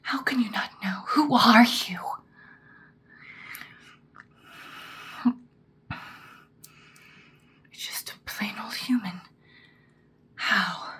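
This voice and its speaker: A woman voicing an imperious, seductive ancient vampire in a breathy, dramatic whispered ASMR roleplay. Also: a little high, sharp tone, a little hiss or hum. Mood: alarmed, astonished. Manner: slow, deliberate, dramatic. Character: ancient female vampire, seductive, imperious, menacing yet protective.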